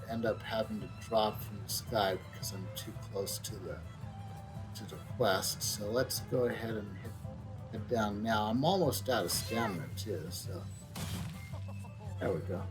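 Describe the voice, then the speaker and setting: Guy streaming a game, slow hesitant casual commentary, game music going behind him. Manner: hesitant, low-key.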